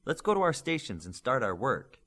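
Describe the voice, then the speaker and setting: A man voicing a polite, encouraging female schoolteacher in an audiobook, measured and instructive. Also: medium pitch, sing-song, fairly bright tone, pitched above the performer's own voice.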